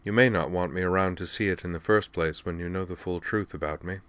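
A man's voice: deep voice, a little fast, a bit bright, no room sound. A man voicing an anxious, self-doubting Earthman in a science fiction audiobook, earnest, hesitant and subdued. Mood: somber.

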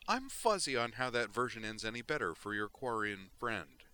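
A man's voice: mid-range voice, big pitch swings, fairly quick, bright, forceful tone, voice pushed up. A man voicing a sarcastic, indignant female shipboard AI in a sci-fi audiobook reading. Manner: sarcastic, exasperated.

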